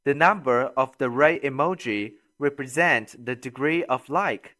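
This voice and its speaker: A man presenting a scripted language lesson as a grammar teacher, instructional and deliberate, with read-after-me drills. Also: mid-range voice, pushed and bright.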